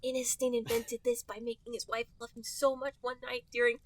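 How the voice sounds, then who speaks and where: A man's voice: very high-pitched, level tone, a little fast, no echo, voice pushed up. A man voicing an earnest young male fox who lectures matter-of-factly like a know-it-all in a fan fiction reading. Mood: earnest lecturing.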